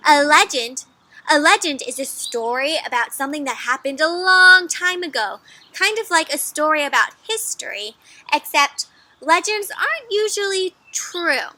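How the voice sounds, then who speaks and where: A woman giving an interactive storybook read-aloud for children, animated and encouraging, often asking her young listeners questions. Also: high-pitched, normal speed, dry recording.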